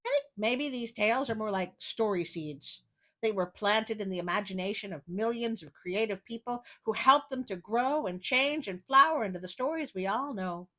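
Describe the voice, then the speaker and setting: A woman reading fairy tales as a storytelling host, expressive, with wry and incredulous asides. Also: medium pitch, moderate pace.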